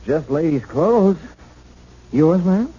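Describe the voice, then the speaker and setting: A man's voice: a little high, very animated, slow, mellow, breathy edge, full character voice. An actor playing a kindly, bumbling, plain-spoken policeman who stammers awkwardly in a vintage radio mystery drama. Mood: awkward, doubtful. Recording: muffled, noisy vintage radio recording.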